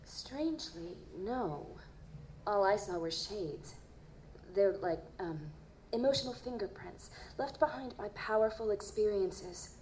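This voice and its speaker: A woman playing an earnest, gentle psychic in an audio drama, speaking in a hesitant, solemn manner. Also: medium pitch, unhurried, natural voice, rough recording. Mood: somber, thoughtful. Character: earnest, gentle female psychic who reads ghostly impressions. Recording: live performance with background noise.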